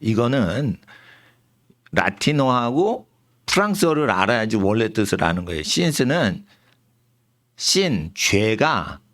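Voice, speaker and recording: A middle-aged man preaching a sermon in church, emphatic and animated, dropping English words into his talk. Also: takes it slowly, pauses often. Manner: animated, emphatic preaching with lively pitch swings. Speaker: pastor. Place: church sanctuary.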